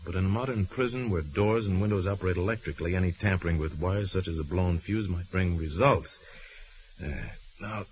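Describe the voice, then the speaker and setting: Low-voiced calm radio detective hero, played by an actor. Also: male voice, fairly quick, lightly acted. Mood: calm, focused. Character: calm, shrewd male detective hero, commanding and methodical. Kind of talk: 1940s radio drama acting. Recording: muffled hissy old radio recording.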